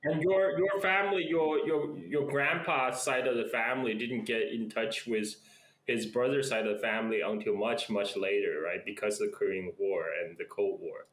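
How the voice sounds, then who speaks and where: Low-voiced man on a roomy video call. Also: steady tone, normal speed, a bit bright, a little hiss or hum. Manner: prompting, asks leading follow-up questions. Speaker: podcast host. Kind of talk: casual interview chat.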